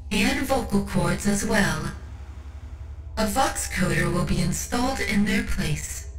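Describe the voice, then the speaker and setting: Woman as a slow, echoing, solemn tech priestess. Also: a little high. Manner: slow, formal, ceremonial, reassuring. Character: solemn female cyborg tech priest surgeon, serenely devout. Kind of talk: ASMR roleplay, scripted monologue. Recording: roomy echo, distant, music behind.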